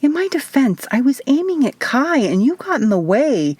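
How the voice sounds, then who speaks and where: A woman voicing an impudent, teasing young female guardian in an audiobook, flippant and quick to scold. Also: high voice, sing-song, darkish tone, slightly rough, dry recording, voice pushed up, full character voice.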